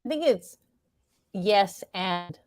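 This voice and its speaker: A woman's voice: medium pitch, expressive, slow pace, darkish tone, no room sound. A woman being interviewed as a playwright and performer, speaking reflectively and earnestly, often searching for her words.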